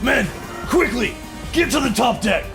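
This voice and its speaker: A man's voice: extremely high pitch, expressive, measured pace, vocal fry, music in the background, full character voice. A man voicing a ruthless, bossy rival pirate captain who barks urgent orders in an audio drama. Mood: wary, urgent.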